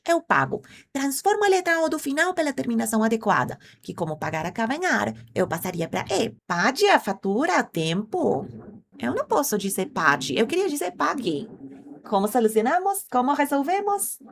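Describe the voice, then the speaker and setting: A woman's voice: fairly high pitch, sing-song, normal speed, a little hiss or hum. A woman whose first language is Spanish, teaching Portuguese in an online lesson, explaining patiently and quizzing viewers. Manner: clear, patient explaining with drilled conjugations; asks the viewers questions directly.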